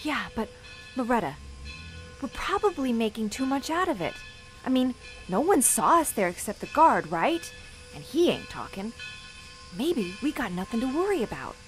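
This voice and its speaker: An actress playing a nervous, brassy young bank teller on the run, snappy and slangy in a period radio drama. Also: female voice, a little high, big pitch swings, moderate pace, faint music behind, low-quality recording. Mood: anxious. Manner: snappy, slangy wisecracking delivery.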